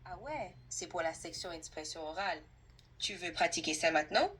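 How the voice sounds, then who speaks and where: A woman's synthetic assistant voice, husky, speaking fluently and politely as a tutor in a conversational role-play. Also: fairly low pitch, animated, normal speed, a bit bright. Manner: polite, fluent, helpful, orderly. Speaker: AI language tutor playing an employer.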